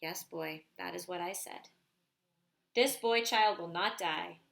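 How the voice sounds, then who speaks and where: A woman voicing a patient, wise old grandfather in a storybook, measured and reassuring. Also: on the low side, creaky, a bit bright, voice pushed down, exaggerated voice. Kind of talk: storybook read aloud.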